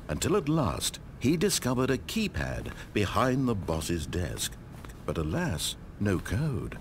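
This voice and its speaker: A man's voice: mid-range voice, a little hiss or hum. A man with a British accent playing an urbane, wry storyteller in a game, precise and theatrical in his scripted narration.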